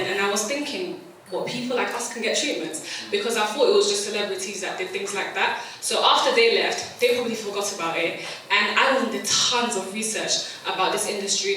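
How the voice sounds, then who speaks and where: Young east woman, bright voice, chatty, laughing a lot, big echoey hall. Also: mid-range voice, recorded from a distance, a little hiss or hum. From East London, England. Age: 20s. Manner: chatty, laughs easily, self-correcting. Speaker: conference speaker. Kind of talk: personal story on stage. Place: large conference hall. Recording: echoey hall, mic heard from afar.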